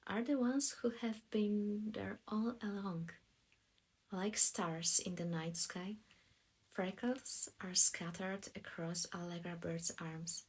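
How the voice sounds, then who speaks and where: A woman from Poland reading scripted audiobook narration as a voice actress, in a soft, deliberate storytelling manner. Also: on the low side, husky, poor audio.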